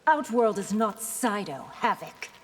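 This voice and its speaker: Principled warrior princess for fighting game: firm, formal, medium pitch, expressive intonation. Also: female voice, a little slow, big-room sound, slight background noise. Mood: earnest. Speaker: video game character. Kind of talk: dramatic fighting game dialogue.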